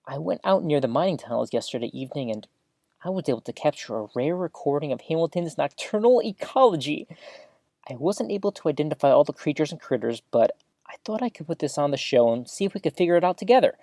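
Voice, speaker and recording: A flustered, eager small-town radio host in an audio drama. Her voice is low and swings widely in pitch. She chats awkwardly, hesitating and interrupting herself. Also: animated, fast talker, dark tone, no room sound, studio quality.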